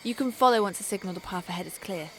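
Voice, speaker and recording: A woman's voice: mid-range voice, fast talker, a little hiss or hum, lightly acted. Woman as businesslike half-elf scout. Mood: serious. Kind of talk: fantasy audio drama acting.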